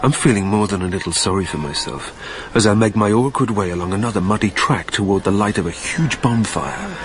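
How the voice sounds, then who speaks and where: A man playing a weary dead man who narrates his own haunting in a reflective, measured, lyrical manner in an audio drama. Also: on the low side, expressive, background noise, lightly acted. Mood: melancholy, reflective.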